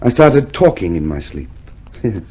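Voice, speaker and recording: An actor playing a meek, eager, deluded party loyalist under arrest, nervous and chummy, in a radio drama. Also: male voice, lowish voice, big pitch swings, a little slow, mellow, slightly rough, full character voice. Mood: nervous eagerness.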